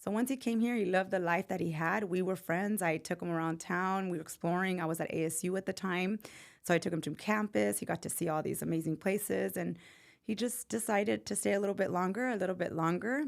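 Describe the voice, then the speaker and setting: A woman's voice: fairly low pitch, steady tone, brisk, vocal fry. A woman in her forties from Phoenix, a realtor telling her life story in a casual interview, chatty and full of ums, dropping in Spanish words. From Phoenix, Arizona. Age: 40s. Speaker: realtor, podcast guest.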